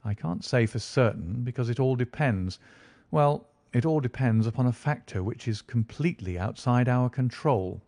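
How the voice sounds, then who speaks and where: A man reading an audiobook, voicing a cool, measured male detective who speaks with quiet confidence. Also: medium pitch, no room sound, close to an everyday voice. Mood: calm, assured. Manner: calm, dry, quietly confident. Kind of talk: audiobook character voice.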